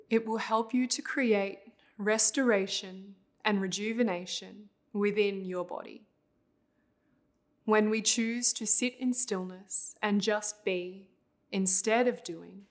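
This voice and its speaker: A woman leading a guided visualization as a meditation instructor, speaking in a calm, unhurried, instructional way. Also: medium pitch.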